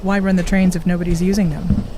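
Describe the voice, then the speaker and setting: A woman's voice: on the low side, level tone, fast talker, background noise, close to an everyday voice. A woman playing a level-headed, inquiring companion in an audio drama, plain and to the point. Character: level-headed, questioning female companion to a time traveller.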